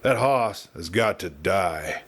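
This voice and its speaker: A man's voice: low-pitched, lively intonation, slow, a bit bright, breathy edge, voice pushed down, exaggerated voice. Audiobook Western heavy: grim, contemptuous big man, rustic American drawl, growled delivery, performed by a male reader. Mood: menacing. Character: big grim ruthless cowboy villain of the American West. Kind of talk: Western audiobook character reading.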